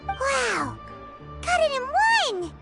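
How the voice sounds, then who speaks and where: A woman's voice: extremely high pitch, huge pitch swings, extremely slow, gravelly, heavily acted. A woman voicing a tiny, childlike fairy companion in an anime video game, wide-eyed, naive and exclamatory. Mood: amazed. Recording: music playing behind.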